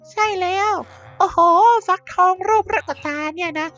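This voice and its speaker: A woman voicing a cheerful male villager uncle with a squeaky, pitched-up cartoon voice. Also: normal speed, a bit bright, soft background music. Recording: dull, muffled sound.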